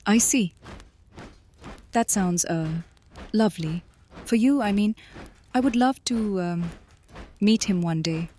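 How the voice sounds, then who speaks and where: A woman playing a commanding vampire mistress who is secretly shy and fond, halting between orders, in a fantasy audio drama. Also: medium pitch, mellow, slight background noise, close to an everyday voice. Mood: awkward, tender.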